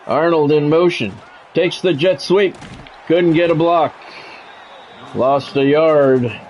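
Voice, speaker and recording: A man's voice: fairly high pitch, takes it slowly, a little mellow. A man works as a football play-by-play announcer. He calls the action in short, clipped phrases. There is faint noise behind him. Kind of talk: American football game commentary.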